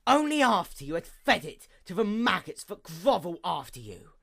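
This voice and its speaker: Woman doing an evil pony night goddess, deep female voice, creaky, grand dramatic villain speech, swings up and down a lot. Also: very animated, crowd noise. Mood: cold triumph. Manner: theatrical, menacing, grandiose, with slow drawn-out emphasis. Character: vengeful, wounded pony goddess of night, proud usurper sister. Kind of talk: dramatic villain monologue, fan fiction reading.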